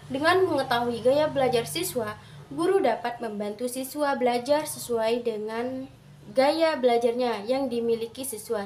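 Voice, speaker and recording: A young adult woman giving a prepared student presentation in careful, halting phrases. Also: high voice, fairly bright tone.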